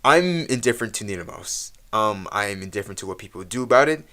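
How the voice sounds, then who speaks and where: A man's voice: fairly low pitch, animated, fast talker, bright, forceful tone. A man, a content creator, making a casual spoken statement in a loose, offhand way with fillers.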